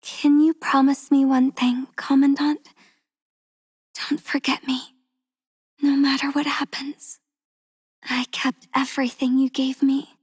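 A woman voicing a gentle, devoted young woman soldier in a game, speaking softly and tenderly with frequent breathy whispers, recorded on a close studio mic. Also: sits high, little pitch movement, takes it slowly, pauses often, no echo. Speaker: voice actress for a game character. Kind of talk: game character voice lines.

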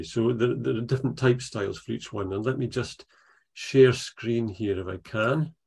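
An older man with a Dundee Scots accent speaks in a gravelly, slightly husky voice. He teaches a writing workshop over a video call, thoughtful and unhurried, pausing and restarting as he searches for words. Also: mid-range voice, a little mellow. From Scotland. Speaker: poet leading a writing workshop. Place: attic room at home. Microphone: video call, laptop camera.

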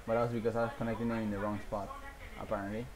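A man's voice: fairly low pitch, little pitch movement, rapid-fire, noisy background. A man chatting casually on a livestream as a gaming streamer, rambling and hesitant and often repeating himself.

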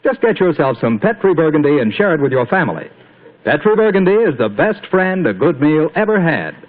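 A man's voice: on the high side, very animated, brisk, phone-quality sound, a little hiss or hum. An American man announcing on 1940s radio, with a folksy salesman's manner. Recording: vintage 1940s radio broadcast.

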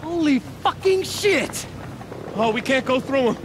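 Panicky, very high-pitched soldier. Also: male voice, expressive, a little slow. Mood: alarmed. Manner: panicky, exclaiming. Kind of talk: military shooter game acting. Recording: game audio with loud artillery noise.

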